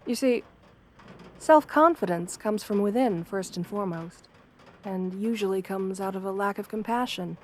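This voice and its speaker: Soft-spoken, gentle wolf-girl therapist played by a woman. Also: medium pitch, slight background noise, natural voice. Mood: caring, encouraging. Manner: soft-spoken, soothing, measured, reassuring. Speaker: therapist character speaking to a patient. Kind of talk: ASMR roleplay, guided affirmations.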